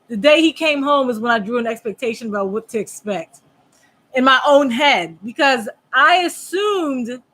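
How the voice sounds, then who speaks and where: A woman co-hosting a candid chat with her husband, speaking openly and reflectively, often pausing to search for words. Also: sits high, fairly bright tone. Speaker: podcast co-host.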